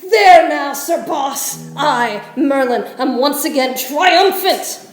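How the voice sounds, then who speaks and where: Radio-play villain: menacing old male sorcerer, played by a woman, wildly varied pitch, declamatory, faint music behind. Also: expressive, slow pace. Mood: triumphant. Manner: theatrical, declamatory. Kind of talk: stage-style radio play acting. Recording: echoey room, music behind.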